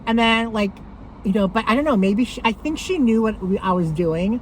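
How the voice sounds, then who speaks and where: A woman's voice: a little high, normal speed, a little hiss or hum, poor audio. Chatty woman vlogging her thrift haul. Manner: chatty, rambling, lots of fillers; loud voice. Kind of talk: casual chat to camera.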